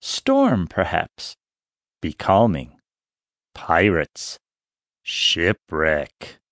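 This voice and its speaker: An actor playing a Chinese guide on a dockside in an audiobook, explaining things in a deliberate, theatrical way. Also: male voice, deep voice, huge pitch swings, a bit bright, no room sound, clean studio sound, full character voice. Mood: ominous. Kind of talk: dramatized audiobook acting.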